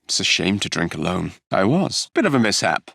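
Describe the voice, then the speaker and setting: Swaggering young Victorian gang boss, English accent, sardonic wit, lively pitch swings, close dry studio sound. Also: male voice, a little fast, gravelly, husky, no echo, heavily acted. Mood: wry, determined. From England. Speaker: video game voice actor. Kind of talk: scripted game character lines.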